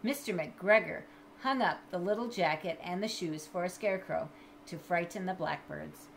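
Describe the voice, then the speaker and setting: A middle-aged woman reading a children's storybook aloud in an expressive, measured way, as if to young listeners. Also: fairly low pitch, creaky, slight background noise. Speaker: storybook reader. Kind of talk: children's read-aloud with chatty asides. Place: indoors in a home room with bookshelves.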